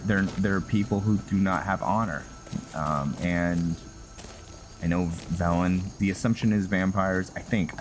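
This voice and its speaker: A man doing casual role-play commentary on a video game, halting and musing, often trailing off. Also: low voice, slight background noise. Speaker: gameplay host, role-player.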